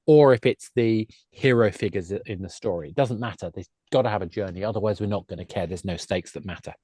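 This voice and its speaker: A man's voice: on the low side, fast, dark tone, no echo. A man speaking as an expert guest in a discussion, explaining his points in a discursive way. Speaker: guest, games industry expert. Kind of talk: discussion of game storytelling.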